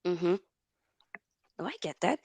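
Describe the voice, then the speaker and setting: A young woman from Norway hosts a casual live football stream with a gravelly, fry-edged voice. She reads out viewer comments and laughs easily between them. The sound is dry, with no room around her. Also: on the low side, lively intonation, takes it slowly, darkish tone, no room sound. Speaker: host of a football talk show.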